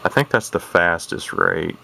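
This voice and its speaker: Middle-aged male hobbyist, very low voice, plainspoken and rambling, casual demo over clear radio noise. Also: lively intonation, a little slow. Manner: folksy, plainspoken, hesitant and rambling. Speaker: vintage radio hobbyist and demonstrator. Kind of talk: casual unscripted product demonstration. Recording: noisy, with radio static behind. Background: CB radio noise from its speaker.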